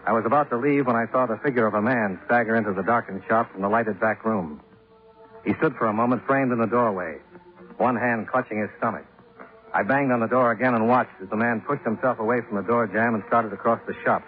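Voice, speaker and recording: A man playing a brisk, level-headed investigator in a 1950s radio detective drama, clipped and matter-of-fact. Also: on the low side, close to an everyday voice. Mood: dry narrating. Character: brisk, level-headed male private insurance investigator narrating his case. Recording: narrow-band 1950s radio recording, music behind.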